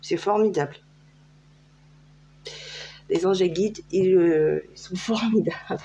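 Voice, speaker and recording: A woman's voice: on the low side, takes it slowly, mellow, lo-fi sound, a little hiss or hum. A French woman giving a casual tarot reading, chatty and hesitant, with many fillers. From France. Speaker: tarot card reader. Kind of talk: casual tarot guidance video.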